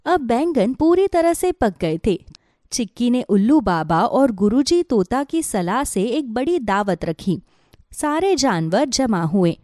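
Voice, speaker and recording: A woman's voice: fairly high pitch, sing-song, takes it slowly, dark tone, dry recording, clean studio sound. A woman narrating a scripted children's animal story.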